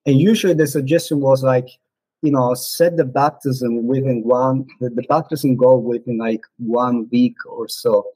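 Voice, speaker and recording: A man in his forties, a non-native English speaker, casually reminiscing in an interview with frequent pauses and fillers. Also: mid-range voice, steady tone, dark tone, slightly rough, no echo, rough recording. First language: not English. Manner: hesitant, many fillers and restarts. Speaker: podcast guest, former missionary.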